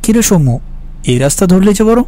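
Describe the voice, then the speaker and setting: A man's voice: high-pitched, very animated, fairly quick, warm tone. A man playing an anxious, guilt-haunted office worker who tells his horror story with uneasy earnestness. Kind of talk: dramatic horror story narration and dialogue. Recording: music behind the voice.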